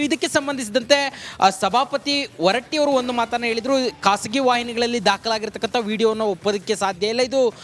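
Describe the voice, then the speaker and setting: A man's voice: high-pitched, fairly bright tone, slight background noise. A man giving an unscripted live news report to the studio, explaining in wordy, formulaic reporter phrasing.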